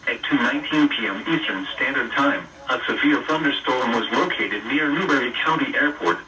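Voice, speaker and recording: A synthetic man's voice of middling pitch delivers a formal emergency weather readout. The delivery is flat and list-like. It comes from a weather radio speaker, picked up from a distance. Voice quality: synthetic text-to-speech voice. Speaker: automated weather radio announcer.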